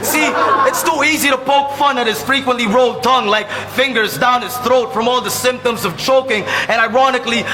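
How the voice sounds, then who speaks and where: A man's voice: extremely high pitch, pushed and bright, slight background noise. A man from the Philippines with a Filipino accent, delivering a mocking, taunting battle rap verse live. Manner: mocking, taunting, laughs at his own jabs. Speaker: battle rapper. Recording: echoey live venue.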